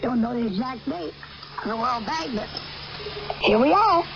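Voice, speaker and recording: An older American woman giving a rambling end-times sermon on shortwave radio, halting, repetitive and emphatic. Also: fairly high pitch, animated, over a noisy crowd. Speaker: radio preacher. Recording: noisy, muffled shortwave radio capture.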